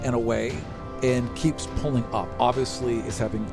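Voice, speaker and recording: A man's voice: medium pitch, fairly even intonation, normal speed, creaky. Man game master, music behind. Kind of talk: casual narration of a tabletop game.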